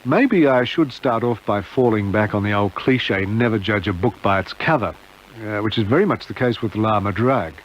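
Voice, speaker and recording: A man's voice: lowish voice, normal speed, highs cut off, slight background noise. A man reading a scripted radio review in a wry, wordy manner on an old broadcast tape. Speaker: restaurant reviewer.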